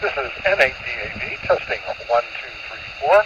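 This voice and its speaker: A man's voice: mid-range voice, sing-song, normal speed. An American man making a test call as an amateur radio operator, heard through a walkie-talkie transmission. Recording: muffled, static-laden two-way radio relay.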